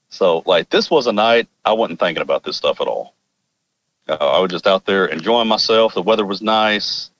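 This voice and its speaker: A man's voice: sits low, fairly bright tone, muffled recording. A man from Arkansas with an American accent, casually telling a personal story in an informal, rambling, wry way. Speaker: podcast guest telling personal experiences.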